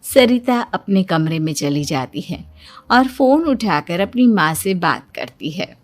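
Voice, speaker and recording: A woman's voice: on the low side, sing-song, unhurried, soft background music. A woman reading scripted narration for a story in a storytelling style.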